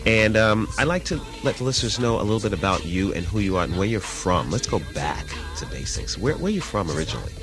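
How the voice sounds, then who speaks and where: A man hosting a radio interview, affable and quick to laugh, with steady murmured agreement while his guest talks. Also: fairly low pitch, music in the background. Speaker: radio host.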